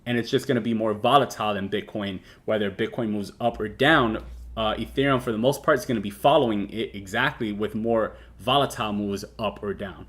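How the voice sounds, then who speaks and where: A man's voice: fairly low pitch, brisk, a bit bright. A man in his thirties hosting a casual crypto livestream, talking loosely with lots of fillers and restarts. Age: 30s. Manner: rambling with many fillers and restarts. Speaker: crypto show host.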